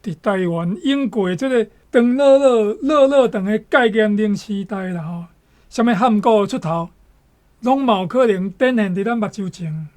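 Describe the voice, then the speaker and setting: A man's voice: high-pitched, normal speed, darkish tone. Taiwanese man giving literary commentary. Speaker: guest commentator.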